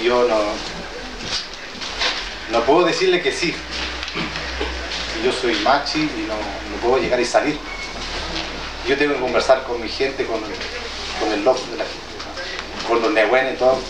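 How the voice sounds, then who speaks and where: Halting man, far off in an echoey hall. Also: medium pitch. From southern Chile. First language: Mapudungun. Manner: halting, hesitant, plain-spoken with many restarts. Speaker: traditional healer addressing a gathering. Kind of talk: informal public address. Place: indoor meeting hall with an audience. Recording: roomy, distant and noisy.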